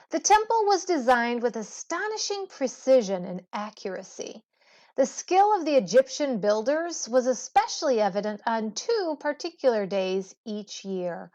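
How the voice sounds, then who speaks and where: A woman teacher reading a history textbook aloud in a measured, deliberate way. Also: a little high, highs cut off. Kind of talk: educational read-aloud of a history textbook with brief explanatory asides.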